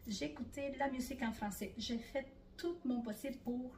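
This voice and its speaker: A woman's voice: sits high, normal speed, warm tone, roomy sound, far from the mic, a little hiss or hum. A middle-aged Italian woman speaking French with an Italian accent as an interview guest, talking casually and hesitantly with many fillers. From Italy.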